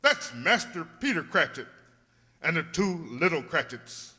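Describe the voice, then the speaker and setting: An actor playing a stern, solemn ghostly spirit guide in a radio drama, declaiming theatrically. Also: male voice, on the high side, big pitch swings, unhurried, pushed and bright, breathy edge, heavily acted. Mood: solemn, presenting. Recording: reverberant hall recording.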